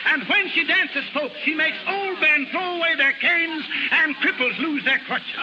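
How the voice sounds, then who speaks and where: An actor playing a brash, gruff carnival barker and show boss in 1930s radio style, with commanding, punchy showman patter. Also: very high voice, unhurried, background noise. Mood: rousing showmanship. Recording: muffled 1930s radio recording with music.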